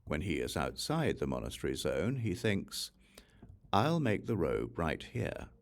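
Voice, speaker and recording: A man reading scripture aloud as an audiobook narrator, in a measured, formal manner. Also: deep voice, sing-song. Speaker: audiobook reader.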